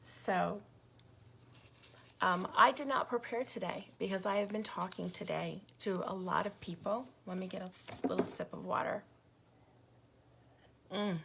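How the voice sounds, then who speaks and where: Hoarse woman hosting a livestream. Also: medium pitch, unhurried, long pauses, background noise. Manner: indignant, gets louder when riled up. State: losing her voice, hoarse. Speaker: activist livestream host. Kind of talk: casual livestream talk to viewers.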